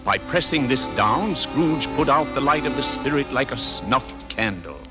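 A man narrating a story in a measured, solemn old-time radio drama style. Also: medium pitch, expressive. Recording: vintage radio broadcast, music behind.

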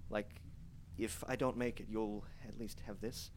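An actor playing a frightened young man trapped alone, recording a halting, stammering diary in a horror audio drama. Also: on the low side, steady tone, slight background noise, natural voice. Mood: anxious, tender.

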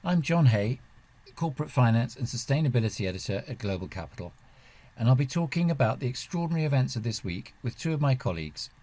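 Guy hosting a podcast, mid-pitched voice, introducing things like an editor. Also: big pitch swings, fast, dark tone. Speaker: podcast host and finance editor. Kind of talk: podcast presenting.

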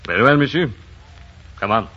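A man's voice: medium pitch, lively intonation, moderate pace, background noise, close to an everyday voice. An actor playing a grave, loyal French-speaking woodsman, formal and solemn in 1940s radio style. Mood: stern warning. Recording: dull 1940s radio recording.

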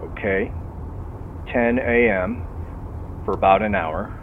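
A man's voice: lowish voice, takes it slowly. Man acting as folksy sheriff's officer, phone-filtered, faint noise, polite, methodical questioning, radio play. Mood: courteous, probing. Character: genial, probing county sheriff's officer on the phone. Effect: phone line filter. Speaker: law enforcement officer. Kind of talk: naturalistic audio drama dialogue. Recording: tinny phone line, car noise.

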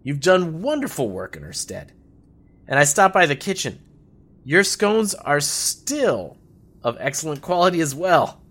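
An actor playing a chatty, theatrical old revolutionary with folksy charm in an audio drama. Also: male voice, fairly high pitch, big pitch swings, a little slow, a bit bright, heavily acted. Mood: warmly appreciative. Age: older. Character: jovial old male revolutionary, garrulous and scheming.

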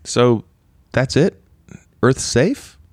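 A man's voice: medium pitch, expressive, very slow, a little mellow, dry recording, clean studio sound. A man playing an earnest, mild-mannered former cult guru in an audio drama, speaking sincerely and a little hesitantly. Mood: wistful, sincere.

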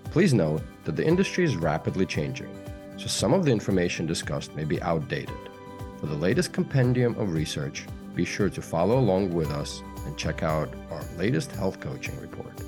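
A man's voice: low voice, very animated, moderate pace. A man reading a scripted podcast introduction as an announcer. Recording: music bed behind voice.

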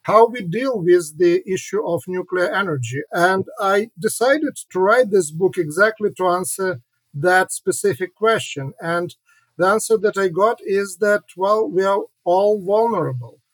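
A middle-aged man from Ukraine, speaking in a fairly high voice. He answers reflectively and haltingly, often repeating words and filling pauses with "uh". The sound is dry and close. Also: slightly rough, no echo, low-quality recording. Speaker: historian and author, guest.

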